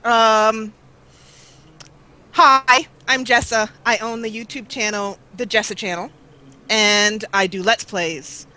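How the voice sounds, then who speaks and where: A young woman YouTuber chatting as an interview guest over a webcam call, talkative and quick to laugh at herself. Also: on the high side, fairly even intonation, pushed and bright. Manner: chatty, laughs often, self-mocking. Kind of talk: casual interview chat.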